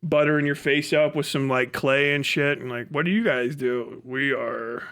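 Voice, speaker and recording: A man's voice: mid-range voice, steady tone, moderate pace, a bit bright, clean tone. An American man live streaming, telling rambling, casual stories in profane, slangy language with comic exaggeration. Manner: breaks into laughter at own stories.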